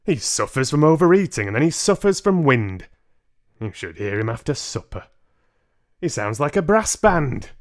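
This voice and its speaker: Storybook role: big gruff pompous dad, performed by a male reader, loud and irritable, swooping emphatically. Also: mid-range voice, very animated, moderate pace, voice pushed up. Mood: blustering, exasperated. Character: large coarse gruff blustering father, easily irritated.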